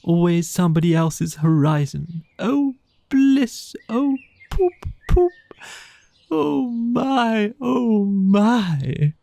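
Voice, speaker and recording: A storybook toad played by a man, lost in a blissful trance, murmurs grandly and very slowly. The voice sits a little high, with creaky edges, full of boastful wonder. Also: big pitch swings, warm tone, dry recording, full character voice. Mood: rapturous, dreamy. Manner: murmuring, drawn-out, rapturous delivery. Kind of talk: children's storybook character voice.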